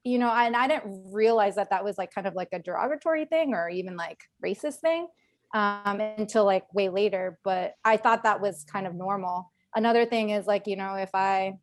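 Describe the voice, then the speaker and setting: A woman's voice: mid-range voice, moderate pace, no echo, clean studio sound. A woman veteran speaking on a video call in a casual webinar panel, chatty with many fillers and restarts. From Northern Virginia, USA.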